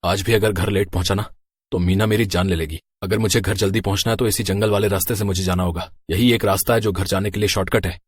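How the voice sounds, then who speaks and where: A man's voice: low voice, steady tone, quick pace, slightly rough, no echo, studio quality. A man playing a cocky, easily rattled biker in a horror story, talking colloquially. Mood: cocky muttering. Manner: colloquial, stammers when flustered. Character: chatty, cocky married male biker, easily terrified. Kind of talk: horror story character dialogue.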